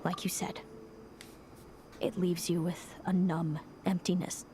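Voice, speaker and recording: A woman voicing a bitter, weary young seer in a video game, speaking in a measured, cold, world-weary way. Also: lowish voice, level tone, background noise. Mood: solemn explaining.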